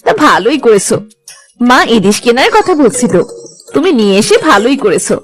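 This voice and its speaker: A woman acting village wife and daughter parts in a cartoon. The voice is high and swoops expressively, homely and eager, with music behind it. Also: big pitch swings, brisk.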